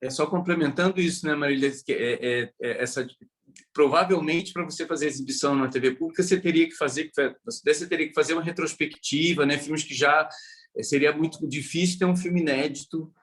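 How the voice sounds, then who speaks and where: A Brazilian man with a fairly high voice talks as a panelist. He is tentative and unscripted, often repeating a phrase before he completes the thought. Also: a little fast, fairly bright tone. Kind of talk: spontaneous panel discussion.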